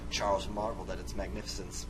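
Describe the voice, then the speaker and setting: A man's voice: deep voice, level tone, quick pace, noisy background. A man doing a playful dramatic reading of a text game for viewers, rambling and interrupting himself with goofy, theatrical asides. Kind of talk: playful dramatic reading with sung bits and ad-libbed commentary. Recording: music behind the voice. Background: music.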